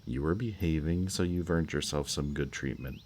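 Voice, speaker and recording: A man playing a smug, flirtatious villain kidnapper in an intimate roleplay audio drama, talking in a teasing, softly menacing way. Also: rumbling low, moderate pace, a little hiss or hum. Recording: outdoor night bird and insect ambience. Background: birdsong and crickets at night.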